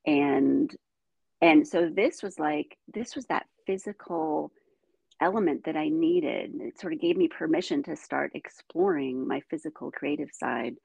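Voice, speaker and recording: A middle-aged woman, first raised speaking Ukrainian and from the United States, telling her life story as a guest in animated conversation. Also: low voice, unhurried, creaky, slightly rough, no room sound, highs cut off. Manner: animated storytelling, frequent "you know". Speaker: guest, dancer.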